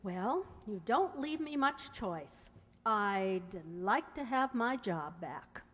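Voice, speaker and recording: A woman's voice: fairly high pitch, very animated, slow, a bit bright, heavily acted. A woman acting a feisty, wisecracking young working woman in a radio comedy play, brash, slangy and emphatic. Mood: weary, resigned. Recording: echoey hall.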